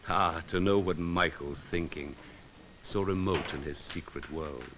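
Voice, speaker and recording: A man reading scripted narration for an educational film, in a measured, solemn and poetic way with deliberate pauses. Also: sits low, background noise. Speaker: documentary narrator.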